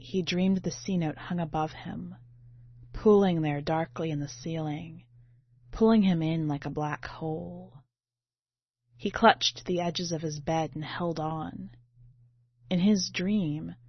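A woman with a lowish voice reads literary fiction aloud in a storytelling style. The recording is slightly muffled, with audible room echo around her narration. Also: a little slow. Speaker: podcast editor reading a short story. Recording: muffled, roomy home recording.

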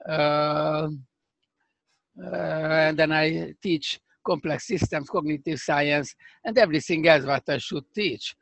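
An older man with a Hungarian accent, speaking as a professor guest in a remote interview, halting and restarting as he searches for words. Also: a little high, creaky, a bit bright, no echo.

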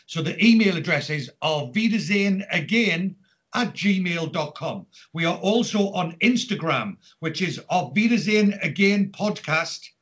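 A man's voice: high-pitched, a bit bright. A middle-aged man from Newcastle with a Geordie accent, hosting a chatty podcast in an upbeat way over an internet call. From Newcastle, north-east England. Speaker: podcast host. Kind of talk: chatty podcast introduction and announcements. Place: remote Skype call from home during lockdown. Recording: dull lo-fi internet call audio.

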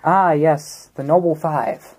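A woman's voice: sits low, fairly even intonation, slow, a little mellow. A woman talking casually as a player in a tabletop game, explaining her moves.

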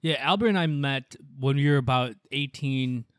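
A young man co-hosts a casual podcast, rambling along and mixing English with Tagalog. His voice sits at a middle pitch. The recording is dry and close. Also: normal speed, no echo. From United States. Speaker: podcast co-host.

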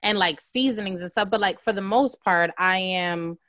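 A woman's voice: medium pitch, normal speed, a bit bright, dry recording, studio quality. A woman hosting a podcast interview, chatty and enthusiastic, peppering her speech with "like". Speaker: podcast host. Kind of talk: casual interview chat.

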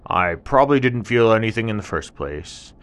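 A man voicing a sneaky but jumpy jungle tiger in a bedtime story, loud and puzzled. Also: on the low side, expressive, moderate pace, pitched above the performer's own voice.

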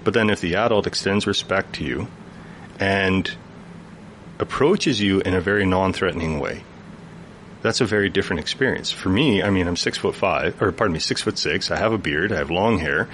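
A man speaks earnestly as a guest in a radio studio, his voice very low and deep. He explains at length, patient and thoughtful. A faint ambient hum sits behind him. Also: moderate pace, a bit bright. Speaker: youth worker guest. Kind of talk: radio interview conversation.

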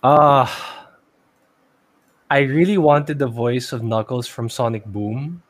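A man's voice: medium pitch, slow pace. A man with a Filipino accent co-hosting a podcast in a loose, conversational style. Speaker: podcast co-host. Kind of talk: casual chat.

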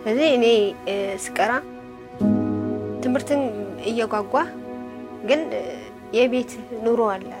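A woman from Ethiopia telling her own story in a testimony interview, speaking rapidly. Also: fairly high pitch. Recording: interview clip with loud music over it.

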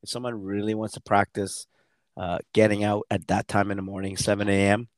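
A man talking casually as a coach and co-host, halting and often rephrasing mid-sentence. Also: lowish voice, flat delivery, noisy background. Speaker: endurance coach and podcast co-host. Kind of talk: casual conversational podcast talk.

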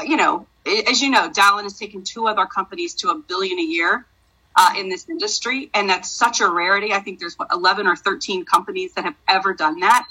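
A bright, forceful woman in her forties talks eagerly in a casual interview. Her voice carries a husky edge, and her talk is full of ums and likes. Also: medium pitch, rough recording. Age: 40s. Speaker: guest, network marketer.

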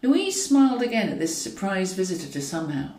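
Female audiobook reader for comic fiction: dry irony, animated pitch movement, recorded roomy and slightly distant. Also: fairly quick. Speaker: author reading own novel. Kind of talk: scripted narration of a comic novel. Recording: roomy echo, distant from mic.

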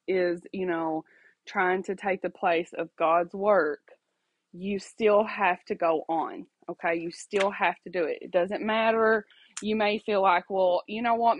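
A woman's voice: lowish voice, no room sound, dull audio. A woman, hosting a casual faith podcast from home, chatty and apologetic, laughing often and asking "okay?", her voice stuffy and sniffly. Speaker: podcast host. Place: at home alone.

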